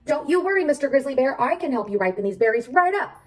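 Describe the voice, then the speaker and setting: A woman voicing an excited, helpful young girl in a storybook, eager and full of questions. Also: sits high, fast talker, voice pushed up. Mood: helpful, curious.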